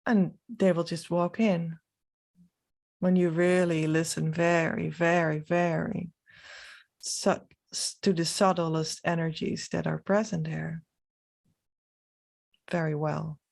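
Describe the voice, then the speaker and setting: Dutch lady vlogging off the cuff, lowish voice, really slow and halting, dutch accent. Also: flat delivery, gravelly, a little mellow. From Netherlands. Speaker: spiritual vlogger. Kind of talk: reflective, unscripted monologue to camera.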